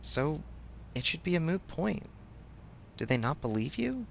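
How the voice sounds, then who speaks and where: A man's voice: on the high side, big pitch swings, measured pace, gravelly, lo-fi sound, a little hiss or hum, full character voice. A man playing a supportive best friend in a casual audio roleplay, speaking frankly with affectionate teasing. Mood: blunt, reassuring. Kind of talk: ASMR audio roleplay, conversational.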